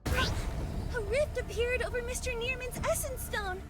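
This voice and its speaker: A woman acting as an earnest young female hunter in a video game, high-voiced. She sounds alert and eager. Music plays clearly behind her. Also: a little slow, close to an everyday voice. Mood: excited, surprised.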